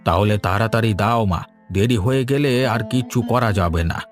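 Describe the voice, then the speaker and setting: A man voicing a grave but kindly village doctor in a cartoon, with solemn, deliberate delivery. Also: lowish voice, soft background music, close to an everyday voice. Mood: urgent. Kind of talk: Bengali moral-tale cartoon dubbing.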